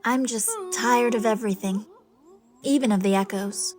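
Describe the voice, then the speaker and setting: Woman as a high-voiced, fanciful ageing spinster. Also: normal speed, natural voice. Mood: weary, petulant. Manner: wistful, expressive. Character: wistful ageing spinster, tender, fanciful, girlish at heart. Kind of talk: dramatised audiobook acting.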